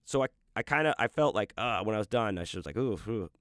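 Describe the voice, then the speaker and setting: A man with a low voice chats casually as a podcast host. He rambles and swears freely, with lots of restarts. The sound is dry and close to the mic. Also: lively intonation, fast, a bit bright, dry recording. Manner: rambling, loose and profane, with many restarts and fillers. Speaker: podcast host and audiobook narrator. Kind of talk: casual chat.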